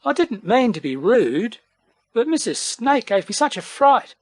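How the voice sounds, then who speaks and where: An anxious mother koala from a storybook, acted by a male reader, pitched high with lively swoops and a slightly husky edge. She is fretful, motherly and protective. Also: unhurried, dry recording, full character voice. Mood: worried, apologetic.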